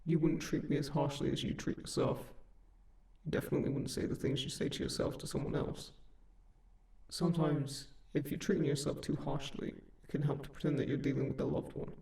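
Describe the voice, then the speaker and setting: A man playing a kind sleep paralysis demon in a comfort audio roleplay, speaking gently and reassuringly in an unhurried, gravelly voice. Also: on the high side, level tone. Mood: earnest, reassuring. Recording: roomy, echoing, slightly distant voice.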